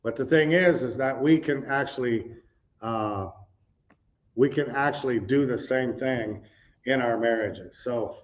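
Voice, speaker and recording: Middle-aged guy preaching casual, lots of "you know", mid-pitched voice, muffled lo-fi audio. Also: unhurried. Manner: loose and chatty, full of "you know" asides, asks the audience questions. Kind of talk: conversational teaching sermon with slides. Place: church meeting room with a responding audience. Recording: dull lo-fi recording.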